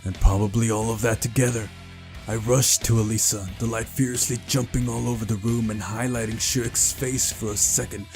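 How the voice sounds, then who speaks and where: A man's voice: medium pitch, steady tone, moderate pace, over music. A man reading a story aloud as dramatic narration, as in a visual novel playthrough.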